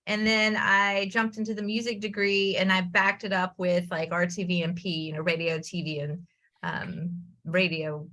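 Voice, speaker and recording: A woman from North Carolina, a guest on a podcast, telling her story in chatty, animated talk. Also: medium pitch. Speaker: podcast guest, entrepreneur and musician. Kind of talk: casual interview talk.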